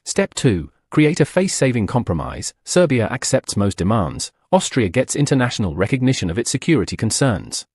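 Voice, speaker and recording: A man narrates a history explainer in a husky, slightly breathy voice. His pitch rises and falls a great deal as he delivers punchy, dramatic lines and throws out rhetorical questions. The recording is close and dry, with no room sound. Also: sing-song, fairly quick, few pauses, darkish tone, no echo. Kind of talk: scripted documentary narration.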